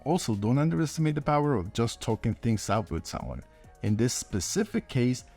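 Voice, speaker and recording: Lively higher-pitched man hosting a video. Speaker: host and inventor. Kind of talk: scripted video narration to viewers.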